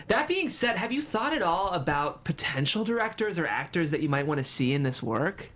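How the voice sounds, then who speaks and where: Male interviewer, slightly high voice, articulate, encouraging, conversational podcast discussion. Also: big pitch swings, fairly quick, a bit bright. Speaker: interviewer and table read performer.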